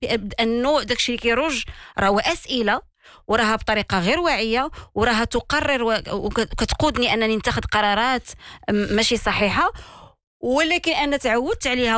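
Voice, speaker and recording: Quick Moroccan woman interviewer. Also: a little high, animated, a bit bright, no room sound, clean studio sound. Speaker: host interviewer. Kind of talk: conversational interview.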